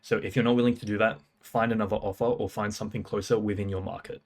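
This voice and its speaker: A young man, his voice sitting a little low. He talks straight to camera in a casual, self-assured way, firing off short punchy phrases and slang. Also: fairly even intonation, a little mellow. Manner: fast, punchy, confident delivery with slang. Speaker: music producer and sales coach. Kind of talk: casual talking-head advice.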